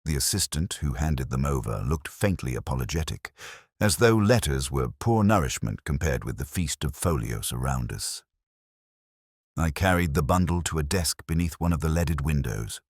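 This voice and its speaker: A man with an exceptionally low voice narrates a literary detective story. His delivery is formal and measured, yet his intonation moves widely. The sound is dry and close, with no room around it. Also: lively intonation, breathy edge, no room sound. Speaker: audio drama narrator. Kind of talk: scripted first-person literary mystery narration.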